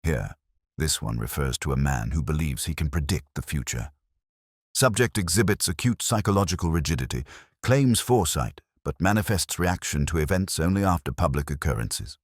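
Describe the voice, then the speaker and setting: A man's voice: extremely low pitch, lively intonation, normal speed, dry recording, clean studio sound. A man voicing an earnest, analytical Victorian doctor who explains things studiously in an audiobook story. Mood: studious, concerned. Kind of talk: audiobook character dialogue.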